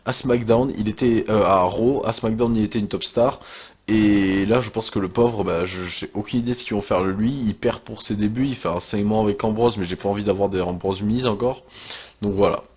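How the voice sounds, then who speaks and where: A man's voice: lowish voice, steady tone, fairly quick, darkish tone, slightly rough. A young man with a stuffy cold, talking casually and hesitantly about wrestling as a channel host, with many fillers and sighs. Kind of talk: casual commentary monologue.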